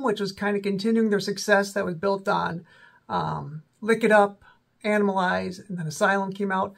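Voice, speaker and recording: A man in his forties with a cold and a slightly sore, raspy throat, casually talking through rock albums as host of his own channel, with many ums and self-corrections. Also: sits high, fairly bright tone. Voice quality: raspy, voice may crack. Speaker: rock music video host. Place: small indoor room with a backdrop.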